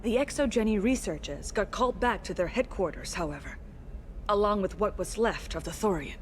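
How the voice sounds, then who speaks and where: An actress playing an earnest, polite alien woman in a video game, speaking in a measured, diplomatic manner. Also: medium pitch, expressive, slight background noise, close to an everyday voice. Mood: hesitant, grateful. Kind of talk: video game dialogue acting.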